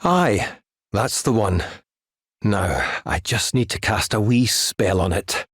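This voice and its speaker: A man's voice: on the low side, lively intonation, fairly bright tone, husky, no room sound, studio quality, full character voice. A man with a Scottish accent playing an earnest, weary wizard mentor in a video game cutscene. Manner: explanatory, measured, folksy.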